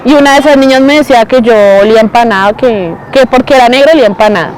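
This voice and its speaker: A young woman recalling childhood experiences in a soft, reflective way. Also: on the high side, very fast, slight background noise, low-quality recording. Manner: soft, reflective, mimics schoolmates. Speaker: interviewee. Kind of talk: personal testimony.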